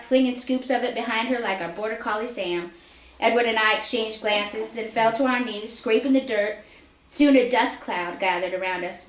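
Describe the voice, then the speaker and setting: A woman reading a story aloud at a live indoor reading, narrating expressively and deliberately. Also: medium pitch. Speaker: author reading own fiction aloud. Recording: echoey room live reading.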